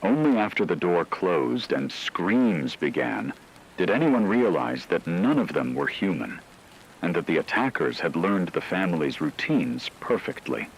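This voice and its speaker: Man, deep voice, horror story narrator, solemn measured delivery, faint rain-like noise behind. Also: very animated, creaky, rough recording. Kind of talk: scripted apocalyptic horror narration.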